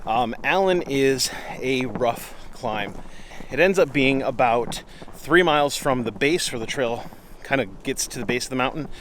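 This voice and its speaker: A man telling an unscripted story in a casual, conversational way, with frequent pauses and slangy asides. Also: medium pitch, a bit bright. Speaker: outdoor vlogger telling a story. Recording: clear ambient background noise.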